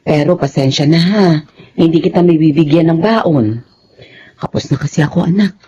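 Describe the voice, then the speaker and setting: Gentle struggling mother, mellow timbre, Filipino accent, dull lo-fi recording, radio drama. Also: sits low, normal speed, breathy edge. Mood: tender, weary. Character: gentle, long-suffering, poor mother.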